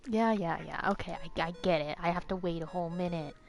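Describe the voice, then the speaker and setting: A woman's voice: fairly low pitch, brisk, over music. A woman livestreamer giving casual, sweary, exasperated gameplay commentary.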